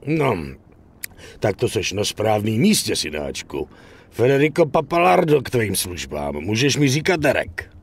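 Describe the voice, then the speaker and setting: Loudmouth middle-aged mob boss, raspy, pitch all over the place, patronizing, crime game. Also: male voice, very animated, exaggerated voice. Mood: hearty, patronizing. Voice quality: raspy, creaky, expansive. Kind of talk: dubbed crime game dialogue.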